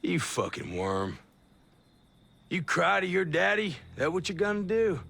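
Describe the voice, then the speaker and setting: An actor playing a ruthless, contemptuous special forces commander who curses and menaces, in a game cutscene. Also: male voice, high voice, sing-song, a little slow, creaky, pushed and bright, exaggerated voice. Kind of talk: video game cutscene acting. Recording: game soundtrack behind.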